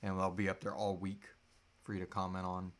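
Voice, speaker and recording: A man's voice: sits low, completely flat delivery, brisk. A man in his thirties co-hosting a casual live question-and-answer chat, loose and joking, swearing casually, recorded on a device in a small room. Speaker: podcast co-host answering listener questions.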